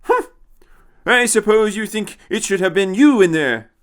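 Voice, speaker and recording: Guy doing a crotchety proud old man, raised pitch, huffing, sci-fi storybook. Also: animated, a little slow, a bit bright. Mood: huffy. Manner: huffy, boastful. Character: the Doctor, crotchety, proud, contrary old male traveller.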